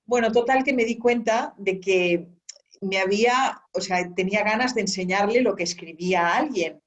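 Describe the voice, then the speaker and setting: A woman's voice: mid-range voice, normal speed. Woman guest rambling through her story, lots of restarts, kinda dull lo-fi audio. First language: Spanish. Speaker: author and guest. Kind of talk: conversational interview. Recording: dull lo-fi sound.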